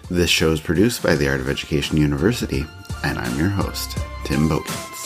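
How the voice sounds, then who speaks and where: A man with an extremely low, deep voice hosts a podcast alone. His voice sounds congested, as if he is fighting off a cold. He rambles in a relaxed, chatty way, full of filler words and small laughs. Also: a little fast, over music. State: congested with a cough. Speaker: podcast host. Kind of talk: casual solo podcast talk.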